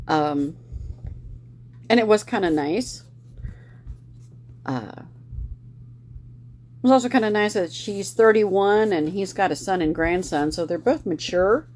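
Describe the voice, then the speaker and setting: A woman's voice: mid-range voice, expressive, slow, pauses often, darkish tone, a little hiss or hum. A middle-aged woman hosting a book club, talking casually about a novel with many fillers and restarts. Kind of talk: casual book review chat.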